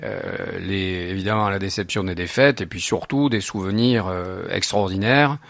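Gravelly southern French man, hesitant. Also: sits low, normal speed, a bit bright, dry recording, clean studio sound. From Narbonne, southern France. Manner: hesitant, many fillers and repeated words. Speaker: lawyer, supporters' association co-president. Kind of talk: radio interview answers.

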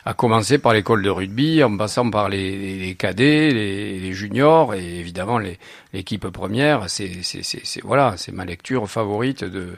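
Man, 60s, southern French accent, low gravelly voice, hesitant with fillers, dry close sound. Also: moderate pace, no echo. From Narbonne, southern France. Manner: hesitant, many fillers and repeated words. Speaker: lawyer, supporters' association co-president. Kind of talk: radio interview answers.

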